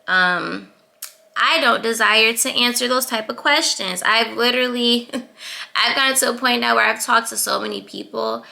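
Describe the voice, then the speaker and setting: Sweet-voiced young woman, gravelly edge. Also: bright, forceful tone. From Atlanta, US. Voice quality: high-pitched sweet voice. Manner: assertive, chatty, laughs between points. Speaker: YouTuber. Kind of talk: casual advice vlog.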